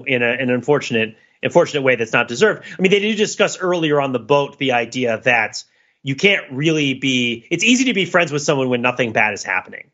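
Bright-voiced man in rambling analytical chat. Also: medium pitch, highs cut off. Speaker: podcast co-host. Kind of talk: casual film discussion.

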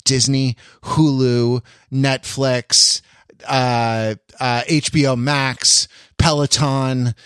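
A man co-hosting a podcast, chatting jokingly with halting, wry delivery as he spitballs. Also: mid-range voice, flat delivery, fairly bright tone, clean tone, no room sound, studio quality. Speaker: podcast host. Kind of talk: casual joking chat.

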